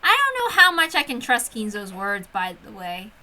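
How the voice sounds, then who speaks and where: A woman's voice: fairly high pitch, huge pitch swings, normal speed, pushed and bright. A woman reading a visual novel aloud as a dramatic narrator, with casual asides. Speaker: story reader.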